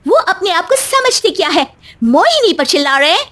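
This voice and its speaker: A spoiled young cartoon woman, acted by a woman, with a very high, dry-sounding voice. She is brash and sassy, waving people off and mixing English phrases into her Hindi. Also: sing-song, normal speed, a bit bright, no echo, full character voice. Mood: indignant. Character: spoiled young woman, foreign-returned brash daughter-in-law. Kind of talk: Hindi cartoon dialogue acting.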